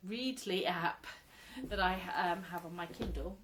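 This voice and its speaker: A woman in her thirties, chatting casually at home about her crochet, a little croaky from a cold, rambling and laughing often. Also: lowish voice, unhurried, fairly bright tone, slight background noise. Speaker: crochet vlogger, mother. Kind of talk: casual show-and-tell chat.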